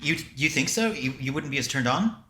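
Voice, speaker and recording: A man's voice: mid-range voice, lively intonation, fairly quick, sharp tone, reverberant, slight background noise. A man hosting a casual podcast, rambling along and often stopping to repeat himself. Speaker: podcast host.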